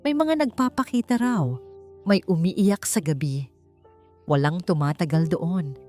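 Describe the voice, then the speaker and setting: A woman's voice: lowish voice, warm tone, soft background music, close to an everyday voice. A woman voicing a sly, mysterious male property seller in a storybook tale, insinuating and secretive. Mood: ominous, hushed. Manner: insinuating, secretive, quick to assure. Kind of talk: storybook character voice.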